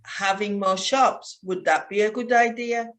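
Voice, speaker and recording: A woman leads an English conversation class, her voice bright and forward. She speaks very slowly and clearly, pausing on plenty of "uh"s to keep learners with her. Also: medium pitch, steady tone. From United Kingdom. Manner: slow and clear, with many fillers. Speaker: English teacher and host.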